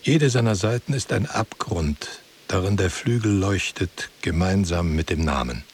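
Very deep, slow, ancient book guardian. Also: male voice, expressive, a little hiss or hum. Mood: grave, cryptic. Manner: slow, cryptic, solemn answers. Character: ancient wandering guardian of a book, cryptic and grave. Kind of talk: poetic radio drama dialogue.

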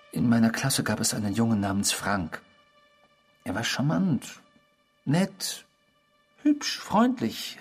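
A man's voice: medium pitch, creaky, breathy edge, exaggerated voice. An actor in a German radio drama playing an intrusive, eerie man who philosophizes and confesses with pompous, formal politeness.

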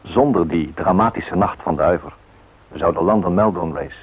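A husky, lowish male voice narrates a radio documentary in measured, literary sentences. The sound is narrow and phone-like, as from a radio.

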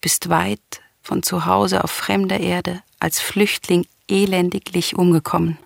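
An actress playing a proud, defiant, grieving Greek princess in a solemn radio drama, speaking in formal, declamatory verse. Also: female voice, on the low side, level tone, unhurried, vocal fry, dry recording, studio quality, full character voice. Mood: grieving, lamenting.

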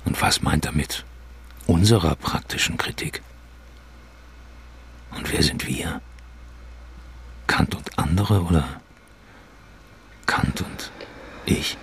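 Very deep, gravelly brooding writer. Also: male voice, slow pace, pauses often, fairly bright tone, full character voice. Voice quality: low, gravelly, husky. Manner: muttering, terse, self-questioning. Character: troubled, brooding male writer thinking to himself. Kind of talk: radio drama inner monologue.

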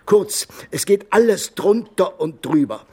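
A man playing a brash, mercenary painter and go-between in a radio play, husky and boastful, with coarse emphasis. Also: sits high, lively intonation, a little slow, mellow, heavily acted. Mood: gossipy, eager. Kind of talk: German radio play acting.

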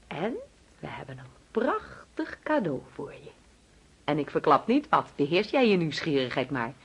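An actress in a radio drama playing a doting, controlling mother who fusses and coaxes with sweet insistence in a husky voice. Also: low-pitched, slight background noise. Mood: gushing, excited. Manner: coaxing, fussing, overbearing.

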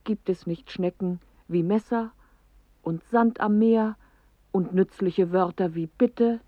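Actress as a reflective woman, mid-pitched, slow storytelling, radio drama, dry studio sound. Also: dry recording, close to an everyday voice. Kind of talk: poetic radio drama narration. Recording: dry, slightly dull radio recording.

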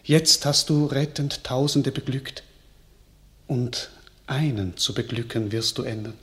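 A man's voice: medium pitch, fairly bright tone, close to an everyday voice. A man playing a stately grateful king. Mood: gracious. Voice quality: stately, measured. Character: grateful, gracious young king. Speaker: actor in a radio play. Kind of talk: classic verse radio drama.

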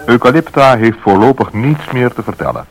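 A man narrating a children's radio play in an expressive storytelling manner, with a husky voice. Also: medium pitch, fairly quick, faint music behind, rough recording.

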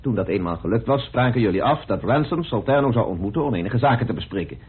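An actor playing a methodical, insistent amateur detective in a radio play, speaking deliberately with theatrical pauses as he accuses. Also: fairly low pitch, expressive, husky. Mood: accusing, deliberate. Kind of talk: radio play acting in Dutch. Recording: muffled old radio drama recording.

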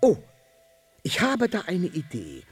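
A man's voice: high voice, huge pitch swings, takes it slowly, slightly rough, no room sound, exaggerated voice. An actor playing an ancient, grumpy but good-hearted Sandman in a children's radio play, blustering and muttering to himself. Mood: mollified, musing.

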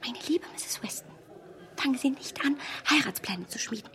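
An actress playing a strong-willed, self-assured young heroine who talks emphatically in a hushed voice, in a radio play. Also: female voice, sits high, expressive, normal speed, whispered, heavily acted. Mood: indignant.

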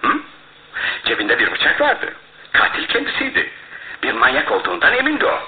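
An actor in a radio play as a shrewd, self-assured male detective who explains his case in a theatrical, deliberate way. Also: high-pitched, wildly swooping pitch, creaky, full character voice. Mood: accusing, methodical. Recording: muffled, roomy radio drama recording.

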